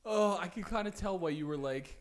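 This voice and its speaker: A man who co-hosts a podcast in casual chat and keeps up a stream of quick, stammering interjections and reactions. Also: sits high. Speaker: podcast co-host.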